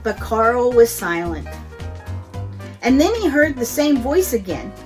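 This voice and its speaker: Slow, high-voiced woman reading a children's story. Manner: expressive, deliberate storytelling. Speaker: host and story reader. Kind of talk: children's storytime read-aloud. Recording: music behind the voice. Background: background music.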